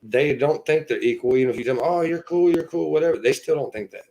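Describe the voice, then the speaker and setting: A man in his thirties telling his personal story as a podcast guest, earnest and halting, filling his pauses with "you know". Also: mid-range voice, darkish tone. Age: 30s.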